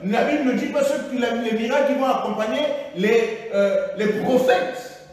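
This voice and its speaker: Man, pastor, fiery sermon full of alleluias, fairly high voice, picked up from afar in a big reverberant church hall. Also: moderate pace. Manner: emphatic preaching, frequent alleluias, shifts between loud and hushed. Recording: echoey church hall, voice from far.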